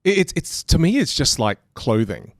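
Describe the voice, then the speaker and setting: A man's voice: a little high, normal speed, no echo, clean studio sound. A man with an Australian accent that leans British, a podcast guest talking casually and animatedly about communication. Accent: Australian, leaning British. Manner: animated, acts out other voices. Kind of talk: casual conversation.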